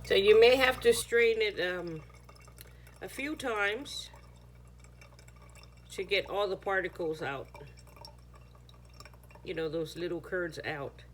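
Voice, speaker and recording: A woman's voice: medium pitch, slow, pauses often, a little hiss or hum. A woman whose first language is Chamorro, chatting casually through a home how-to video, sometimes searching for words. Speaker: home cooking vlogger.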